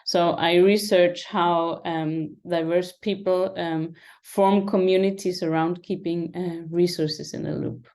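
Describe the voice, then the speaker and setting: A woman's voice: fairly low pitch, steady tone, slow pace, hardly any pauses, darkish tone. A woman PhD candidate introducing a conference session on a video call, hesitant with many ums. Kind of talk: conference presentation. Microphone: computer mic on video call.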